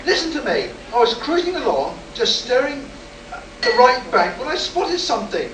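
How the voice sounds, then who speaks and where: A man's voice: extremely high pitch, big pitch swings, moderate pace, fairly bright tone, full character voice. Man acting an agitated rocket pilot, echoey and distant. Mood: tense recounting. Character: agitated, insistent rocket test pilot shaken by an alien encounter. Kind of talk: sci-fi radio play acting, performed live. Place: live performance in a room at a convention. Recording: roomy, distant, noisy live convention stage recording.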